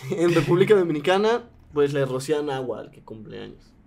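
Young man, Mexican accent, podcast co-host, relaxed fact reading, slightly high voice. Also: fairly quick, gravelly. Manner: presents curious facts in a relaxed way. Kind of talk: casual chat, reading out facts.

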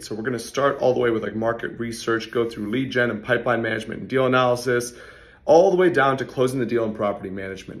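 A man in his thirties with a hoarse, worn-out voice, talking casually to a phone camera in an office hallway, explaining tools with quick salesy asides. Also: medium pitch, fairly bright tone. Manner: fast, conversational, salesy asides. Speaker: real estate investor and channel host.

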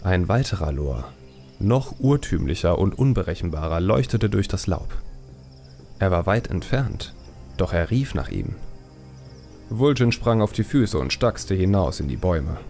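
A man's voice: sits low, big pitch swings, unhurried, a little mellow. A man reading a fantasy audiobook as a dramatic, scripted narration. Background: faint music.

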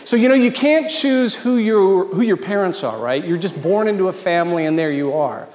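An American man in his fifties with a notably high voice addresses a large, reverberant hall. He speaks as a keynote presenter, informal and conversational, dropping in jokes and emphatic asides. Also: lively intonation, moderate pace, a little mellow. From Washington, D.C., United States. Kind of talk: informal after-dinner keynote talk. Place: large event hall with dinner tables, indoors. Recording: echoey hall with long reverb.

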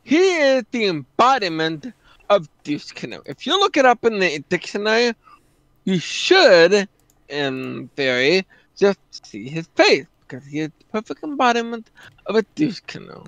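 Male co-streamer, high-pitched and swooping, opinionated and emphatic, very dry sound. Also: very animated, moderate pace, vocal fry, a bit bright, no echo. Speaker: streamer and co-commentator. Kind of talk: casual opinionated livestream chat. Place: indoor room at a streaming setup.

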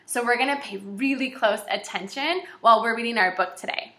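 Female kindergarten teacher, twenties, forceful bright tone, encouraging read-aloud delivery, recorded in an echoey room. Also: a little high, brisk. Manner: encouraging, animated, addressing young children. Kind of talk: children's read-aloud lesson. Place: small indoor room with shelves and whiteboard.

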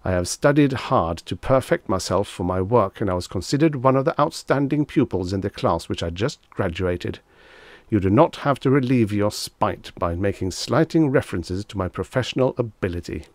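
Male reader as polite agent of death, formal, earnest, measured, lowish pitch, dry sound, storybook. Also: lively intonation, dry recording, close to an everyday voice. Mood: frigid, offended. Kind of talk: dramatised story reading.

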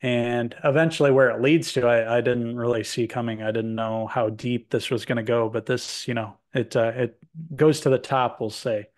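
A man's voice: medium pitch, fairly even intonation, moderate pace. Meandering man reviewing a film. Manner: meandering, many fillers and restarts. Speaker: movie review co-host. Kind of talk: casual film review chat. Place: home room with posters indoors. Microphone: video call webcam.

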